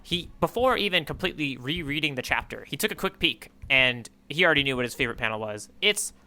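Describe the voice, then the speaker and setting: A man's voice: mid-range voice, expressive, fast, pushed and bright. A man co-hosting a manga readalong podcast in casual chat, riffing playfully. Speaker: podcast co-host.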